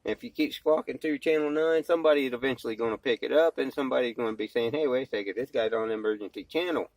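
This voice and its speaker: A man's voice: medium pitch, quick pace, darkish tone, dry recording, poor audio. A man talking casually and plainly into a cell phone, in a folksy, slangy, rambling vlog style. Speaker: amateur vlogger and CB radio hobbyist, former truck driver.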